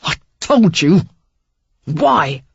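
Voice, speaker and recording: A woman voicing a rough, intense man with a Cockney accent, speaking hoarsely and commandingly. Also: lowish voice, sing-song, very slow, a little mellow, no room sound, dull audio, full character voice. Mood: strained. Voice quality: husky, hoarse.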